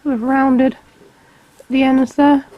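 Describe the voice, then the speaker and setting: A woman with a mild cold, a touch hoarse, talks through a drawing tutorial in an easy step-by-step way. Her voice sits a little high. The recording is close and dry, with no room sound. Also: takes it slowly, dry recording, dull audio. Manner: relaxed, explains step by step while drawing. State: has a cold, slightly hoarse. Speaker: art tutor.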